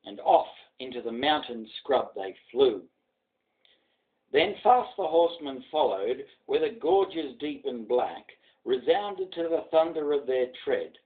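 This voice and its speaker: A man's voice: mid-range voice, phone-quality sound. A man with an Australian accent giving a dramatic recitation of poetry. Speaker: poetry reader.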